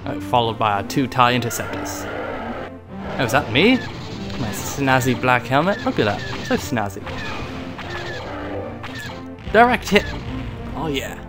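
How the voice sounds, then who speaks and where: A man's voice: mid-range voice, animated, normal speed, music in the background. A man hosting a gaming video in a put-on British accent, giving hammy, playful commentary as a loyal Imperial pilot. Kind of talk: playful in-character game commentary.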